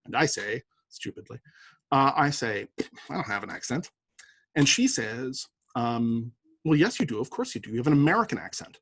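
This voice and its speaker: Canadian male lecturer, husky mid-pitched voice, chatty, storytelling, dry close recording. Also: very animated, moderate pace, fairly bright tone, dry recording, low-quality recording. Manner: conversational, anecdotal, lots of fillers and rhetorical "right?". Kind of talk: university lecture.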